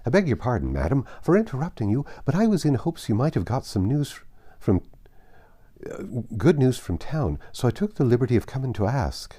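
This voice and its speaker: A man reads as an anxious female housekeeper in a classic novel, polite and deferential to her betters. The voice is mellow, with pitch that swings widely in eagerness. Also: sing-song, a little fast, husky. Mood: hopeful, deferential. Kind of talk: audiobook character dialogue.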